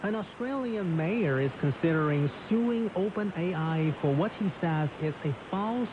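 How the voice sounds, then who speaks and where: A man's voice: high voice, expressive, measured pace. Male news host buried in radio static. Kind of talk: news presenting. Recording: muffled shortwave radio, loud static.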